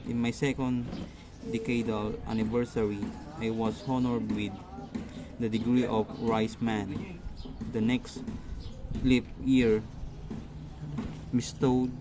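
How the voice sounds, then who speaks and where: A man playing a self-important scholarly magistrate in an amateur radio drama, speaking formally and haltingly. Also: mid-range voice, close to an everyday voice. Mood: formal, proud. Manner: halting, stumbling delivery with formal wording. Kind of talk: amateur stage-style radio drama reading. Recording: noisy amateur recording with steady background noise.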